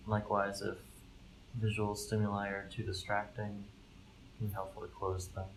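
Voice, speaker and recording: A live recording with noticeable background noise carries a man's low voice. He guides a meditation calmly, with little melodic movement. His instructions come gently in measured phrases, with room to breathe between them. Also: low-quality recording. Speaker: mindfulness fellow, meditation guide.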